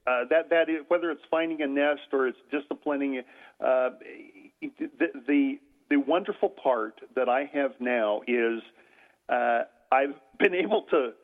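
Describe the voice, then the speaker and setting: An older man speaks loudly as a guest on a radio talk show. He hesitates a lot, with fillers and restarts. His voice sits at an ordinary pitch, and the sound is tinny and phone-like. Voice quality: loud voice. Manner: halting, many fillers and restarts.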